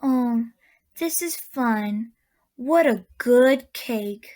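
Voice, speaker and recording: A woman playing a kindly old aunt in a radio drama, speaking with gentle, folksy, unhurried counsel. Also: a little high, crowd noise. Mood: warm, delighted. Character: kindly old auntie of a magical land.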